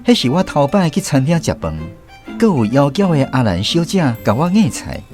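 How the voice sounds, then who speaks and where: A man's voice: on the high side, big pitch swings, moderate pace, darkish tone, faint music behind. An actor with a Taiwanese accent playing a man who narrates memories of his boyhood apprenticeship in a scripted radio drama. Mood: explanatory. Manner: reflective storytelling, explains as he goes.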